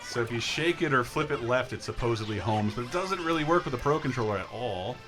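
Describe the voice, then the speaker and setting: Male gameplay host, casual and explanatory, talking over clear game music. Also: medium pitch, lively intonation, fast. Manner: explanatory, walks listeners through the game. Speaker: host playing a video game on a show. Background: video game music.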